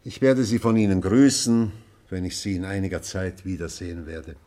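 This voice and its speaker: A man playing an old retired schoolmaster in a radio play, speaking formally, with measured, authoritative oratory. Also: deep voice, fairly even intonation, warm tone, lightly acted. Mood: solemn, mournful. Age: older. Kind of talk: radio play acting, speech to a class.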